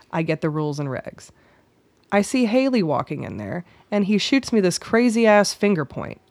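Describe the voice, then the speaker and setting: A woman's voice: mid-range voice, no echo, close to an everyday voice. Radio drama rebel, female actor: feisty woman, slangy and sarcastic, swooping intonation, close dry sound. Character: feisty, foul-mouthed, indignant young woman civilian. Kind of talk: radio play acting.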